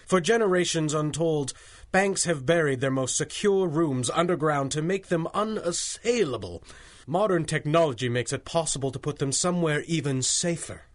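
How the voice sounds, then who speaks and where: An actor playing a formal, obsequious bank manager in a 1930s-style radio drama, eager to please and fussy. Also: male voice, a little high, moderate pace, natural voice. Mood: proud, deferential.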